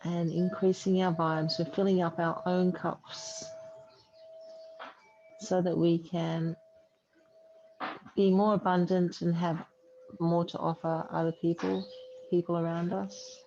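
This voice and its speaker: A woman yoga teacher leading a guided relaxation meditation in a soothing, unhurried way with long pauses. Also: fairly low pitch, flat delivery, warm tone. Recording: dull muffled audio, faint background noise.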